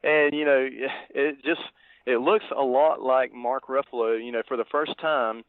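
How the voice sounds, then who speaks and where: A man co-hosting a podcast, talking casually about movies in a halting, reflective way. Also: mid-range voice, fairly even intonation, dry recording. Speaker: podcast co-host. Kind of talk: casual movie review chat. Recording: thin phone-like line, dry.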